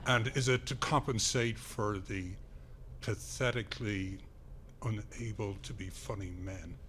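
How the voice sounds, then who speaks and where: A man's voice: fairly low pitch, fairly even intonation, fairly bright tone. Droll man, faint background noise. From Dublin, Ireland. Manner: measured, halting, droll. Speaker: audience member asking a question. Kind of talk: jocular live question to a panel.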